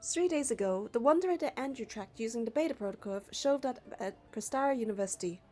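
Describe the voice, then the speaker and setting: High-voiced woman narrating a game story. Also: expressive, fast talker, a little mellow. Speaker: narrator and reader of a game playthrough. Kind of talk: scripted story narration with dialogue read aloud. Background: background music.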